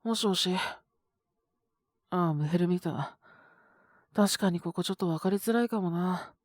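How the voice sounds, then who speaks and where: A woman's voice: on the low side, normal speed, a little mellow, no room sound, clean studio sound, natural voice. A woman voicing a sick, tired young male teacher in an audio drama, brusque and sighing, with a weary mutter. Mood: reluctantly caring. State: feverish, coughing. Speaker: voice actor. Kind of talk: Japanese situation-voice audio drama.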